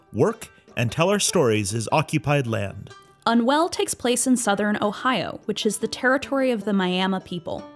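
A woman, an executive producer, reading a formal show announcement. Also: mid-range voice.

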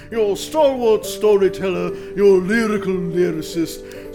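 Snooty lordly tale-teller, high voice, drawn out and flowery, music playing. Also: male voice. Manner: pompous, grandiloquent, theatrical. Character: pompous, self-important aristocratic male storyteller host. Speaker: host and narrator. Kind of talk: theatrical storybook introduction.

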